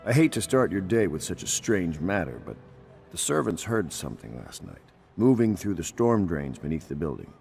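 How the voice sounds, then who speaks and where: An actor playing a grave, resolute loyalist leader in a video game, speaking in a formal, measured, oratorical way. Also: male voice, low voice, big pitch swings, gravelly, darkish tone, breathy edge, faint music behind, full character voice. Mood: businesslike. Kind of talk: scripted game dialogue.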